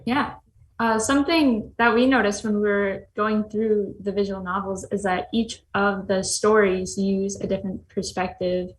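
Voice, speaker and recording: A woman's voice: mid-range voice, steady tone, moderate pace. A woman asking casual questions as the interviewer on a panel. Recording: echoey room with faint background noise.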